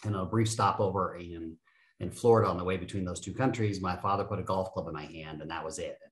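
Man, 50s, podcast guest; animated, self-deprecating storyteller; lowish voice, echoey room. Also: fast talker. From United States. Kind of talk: casual interview talk.

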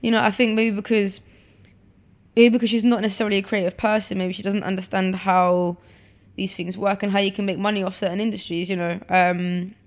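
A woman's voice: mid-range voice, flat delivery, a little fast. A woman, chatting casually as an interview guest with many fillers and restarts. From born in Poland, raised in London. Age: 20s. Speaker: guest, photographer.

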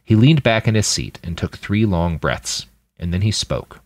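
Deep-voiced man narrating fiction, very animated. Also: moderate pace, dry recording. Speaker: author reading his fiction podcast. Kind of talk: scripted audiobook narration.

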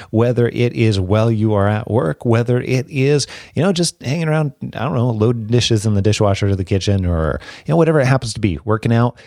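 A man's voice: medium pitch, creaky, a little mellow, no room sound, studio quality. A man hosting a podcast, earnestly thanking listeners in a casual, rambling way with many restarts. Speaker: podcast host.

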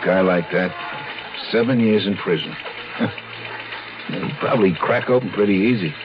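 An actor playing a casual, blunt police detective sidekick with colloquial speech in a 1970s radio drama. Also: male voice, sits low, unhurried. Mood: matter-of-fact. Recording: noisy, muffled 1970s radio recording.

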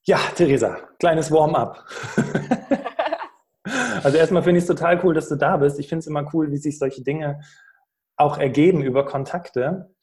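A man's voice: fairly high pitch, steady tone, moderate pace, long pauses, darkish tone. A male podcast host who interviews a guest in a friendly way, with fillers and restarts.

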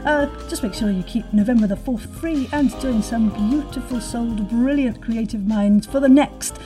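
A woman's voice: a little high, moderate pace, over music. A woman hosting a playful event promo in a theatrical, exclamatory way, with bantering interjections. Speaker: host and event organiser.

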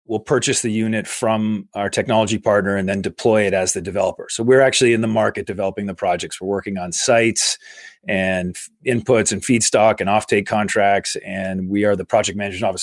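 A man in his forties from Massachusetts, a guest explaining his work in a steady, measured way during an interview. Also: on the low side, dry recording. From Massachusetts, USA. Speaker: guest, infrastructure investor. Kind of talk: conversational interview.

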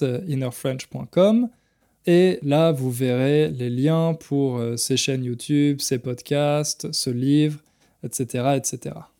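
A man hosting a podcast for French learners, speaking clearly and deliberately with frequent pauses. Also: fairly high pitch, dark tone. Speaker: podcast host and French teacher.

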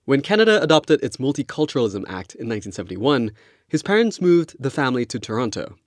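A man's voice: medium pitch, big pitch swings, fast talker, dry recording, clean studio sound. A man hosting a podcast, reading scripted narration. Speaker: podcast host and interviewer. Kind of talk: scripted narration with interview chat.

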